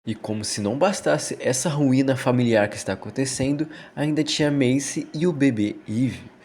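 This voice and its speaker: A man's voice: mid-range voice, normal speed, darkish tone, slight background noise. A man with a Brazilian accent narrating a storytelling commentary on a horror series. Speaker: video essay narrator. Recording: music under the voice. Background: background music.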